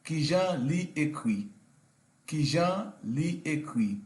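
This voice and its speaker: A man teaching a language in a listen-and-repeat style, saying short phrases deliberately and repeating them with pauses. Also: fairly high pitch, expressive, darkish tone. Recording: roomy, echoing voice recording.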